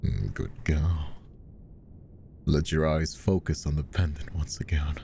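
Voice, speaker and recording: A man playing a possessive, menacingly tender magician hypnotist in roleplay ASMR, speaking softly and coaxingly, often slipping into whispers. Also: animated, measured pace, slight background noise, heavily acted. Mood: soothing, commanding. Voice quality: soft, very deep, gravelly. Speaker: voice actor.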